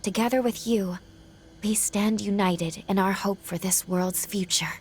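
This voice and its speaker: A woman playing a gentle, dutiful young heroine in a video game, speaking softly in formal, earnest, measured phrases. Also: mid-range voice, fairly even intonation, lightly acted. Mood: warm, earnest. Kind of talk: dramatic video game cutscene acting. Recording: game music behind the voice.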